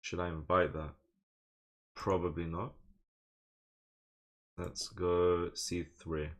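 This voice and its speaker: A man's voice: low-pitched, flat delivery, slow. A man with an English accent, streaming a game casually, half thinking aloud to himself and half chatting to his viewers. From England. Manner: muses moves half to himself, chats to viewers. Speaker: live streamer playing online chess.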